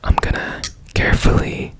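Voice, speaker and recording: A man whispering in a breathy, deliberate way up close to the mic, in an ASMR roleplay as a mysterious repairman. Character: strange secretive man repairing a broken robot. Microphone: close ASMR microphone.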